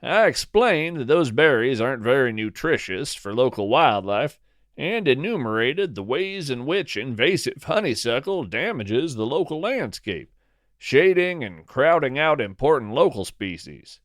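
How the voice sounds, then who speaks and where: A man narrating a scripted first-person story as a podcast host, with a deadpan, whimsical and measured delivery. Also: medium pitch, no room sound, studio quality.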